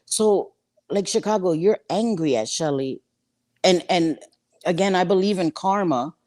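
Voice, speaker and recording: A woman in her fifties with a husky voice, gossiping bluntly and profanely as a livestream co-host. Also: fairly low pitch, measured pace, warm tone. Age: 50s. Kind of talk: casual gossip livestream chat.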